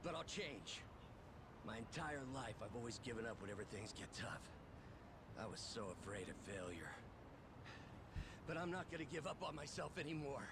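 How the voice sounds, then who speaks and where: An actor playing a timid, earnest young man in a video game drama, speaking with halting emotion. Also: mid-range voice, sing-song, crowd noise. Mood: determined. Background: game soundtrack music.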